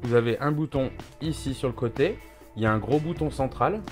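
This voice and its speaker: A man's voice: mid-range voice, a little fast. A man chats as a co-presenter in an ordinary mid-range voice. His talk is colloquial and agreeable, with no script. Faint music sits behind his words. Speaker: co-presenter, host's friend. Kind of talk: casual unscripted chat.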